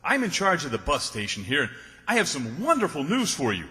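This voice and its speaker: A cheery, officious bus station manager played by a man in old-time radio comedy style. His high voice is bright and carries long hall reverb. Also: huge pitch swings, a little fast, exaggerated voice. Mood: pleased, officious.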